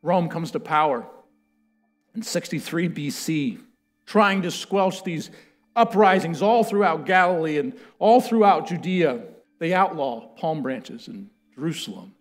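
A man in his thirties preaching from a church stage, telling history with lively, building emphasis. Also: on the high side, unhurried, faint music behind. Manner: animated storytelling, building to loud chanted quotes. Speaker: pastor. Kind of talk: sermon. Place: church stage with a lectern, large indoor room.

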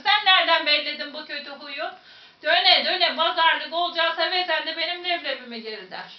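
A woman with a Central Anatolian Turkish accent telling a folk tale in a lively, dramatic way, speaking to her listeners in a museum room. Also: high voice. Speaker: storyteller. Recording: echoey room, distant, dull, faint noise.

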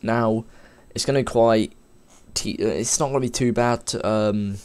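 A man with a somewhat low voice, a little stuffed up and sniffly from a cold. He talks informally at his desk, wandering and correcting himself as he types through a game-making tutorial. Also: steady tone, a little slow, darkish tone, clean tone. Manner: informal, rambling, self-correcting while typing. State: has a cold, a bit sniffly. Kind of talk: casual screen-recorded coding tutorial. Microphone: desk microphone.

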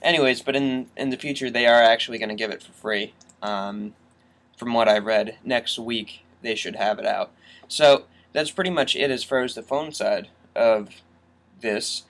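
A young man hosts a casual tech tutorial from his computer. His voice sits at an average male pitch, and he talks informally, as if showing a viewer around his screen. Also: steady tone, moderate pace, fairly bright tone. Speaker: tech vlogger.

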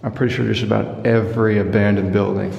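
A man narrating his own exploration of abandoned buildings in a dry, wry, deadpan way, filmed on a handheld camera. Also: lowish voice, fast talker, gravelly, breathy edge, dull audio. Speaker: urban explorer vlogger. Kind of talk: casual solo exploring commentary. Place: abandoned buildings and overgrown fields, indoors and outdoors.

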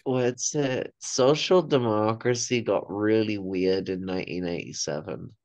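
Smooth, lowish young man, dull audio. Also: measured pace, a little mellow, no room sound. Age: young adult. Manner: sweary, blunt. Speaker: panel participant. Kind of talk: casual political commentary. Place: indoor room at home. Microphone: video call microphone. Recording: dull, lo-fi video call.

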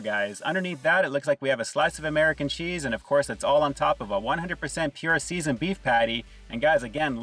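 A man in his forties reviewing fast food from inside a parked car, upbeat and enthusiastic, talking to viewers. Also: on the high side, a bit bright, far from the mic, poor audio. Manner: upbeat, enthusiastic, often says "guys".

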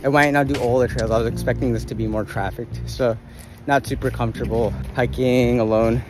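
A man's voice: mid-range voice, fairly even intonation, a little fast, loud music behind the voice. A young man narrating a casual travel vlog in a laid-back, informal way, recorded outdoors on a handheld phone. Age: young adult. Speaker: travel vlogger. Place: outdoors, on trails and streets. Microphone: handheld phone at arm's length.

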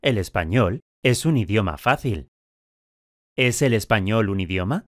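Low-voiced man reading drill questions. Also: expressive, no room sound, clean studio sound. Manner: slow, clearly articulated statements and questions. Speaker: language course speaker.

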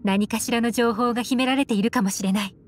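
A woman voicing a composed, shrewd adult investigator in an anime game, explaining in a cool, measured, analytical way. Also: fairly high pitch, steady tone, a little hiss or hum, close to an everyday voice. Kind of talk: Japanese anime game voice acting. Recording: music under the voice.

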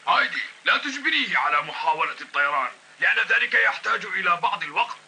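A man's voice: high-pitched, animated, quick pace, vocal fry, sharp tone, a little hiss or hum, full character voice. Old mountain grandfather. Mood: advising. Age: older. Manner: patient, advising. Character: gruff old grandfather, gently patient.

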